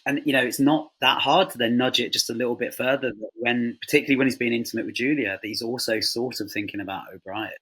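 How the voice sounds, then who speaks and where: A man with a mid-pitched, bright and forceful voice that has a husky rasp. He speaks in a casual panel style, thinking aloud in halting phrases. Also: rough recording.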